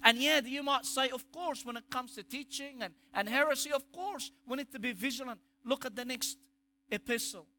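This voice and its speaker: A man's voice: extremely high pitch, normal speed, sharp tone, slight background noise. A man with an Egyptian accent preaching a sermon, emphatic, asking rhetorical questions and restarting phrases. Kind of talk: sermon on scripture.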